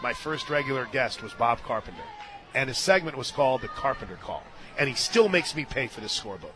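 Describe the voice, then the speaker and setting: A man doing live radio play-by-play of softball, mixing excitable calls with chatty asides. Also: medium pitch, brisk. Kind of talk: live softball commentary mixed with casual chat. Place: stadium radio booth.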